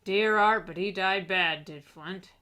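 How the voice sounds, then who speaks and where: A woman's voice: medium pitch, a little slow, bright, forceful tone. A woman playing a superstitious, fearful pirate sailor in a radio play, with salty dialect. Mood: grim, uneasy.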